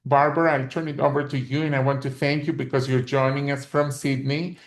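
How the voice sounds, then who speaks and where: A man hosting a webinar who reads out a formal introduction at a middling pitch. His voice carries a roomy echo, as if he is in a bare room on a video call. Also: flat delivery, fairly quick. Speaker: webinar host. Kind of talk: introducing a speaker.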